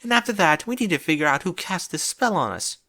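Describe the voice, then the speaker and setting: Guy doing a bossy anxious girl unicorn, way high and bright, insistent, storybook cartoon type. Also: lively intonation, a little fast, heavily acted. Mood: worried. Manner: urgent, insistent. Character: bossy, earnest, anxious young female unicorn leader.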